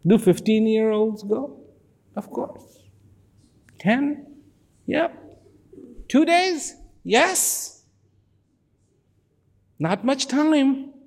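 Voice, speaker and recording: Guy giving a slow talk to a crowd, voice swoops up and down a lot, says things twice. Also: extremely high pitch, animated, vocal fry. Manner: repetitive, emphatic, drops to near whispers. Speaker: speaker. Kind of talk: slow reflective talk to an audience.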